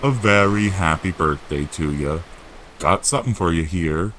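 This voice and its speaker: A man voicing a huge, gruff but friendly giant with rustic, blunt speech in an audio drama. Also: deep voice, moderate pace, a bit bright, slight background noise. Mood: fond. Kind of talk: audio drama character acting.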